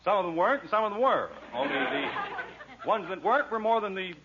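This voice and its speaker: A man's voice: high-pitched, very animated, brisk, crowd noise. An American actor playing an exasperated, sarcastic middle-aged suburban father in an old radio sitcom. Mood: flustered indignation. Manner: dry sarcasm, blustering when flustered. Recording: dull, noisy old radio recording.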